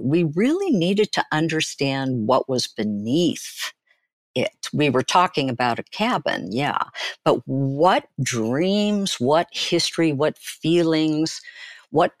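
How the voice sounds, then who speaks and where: An older woman telling personal stories as an interview guest, phrasing deliberately and emphatically with pauses. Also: low-pitched, very animated, no room sound. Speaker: guest, therapist and author.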